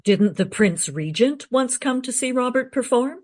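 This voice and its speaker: A woman's voice: fairly high pitch, lively intonation, normal speed, a little mellow. A woman playing a courteous modern interviewer in a radio play, asking tactful but probing questions.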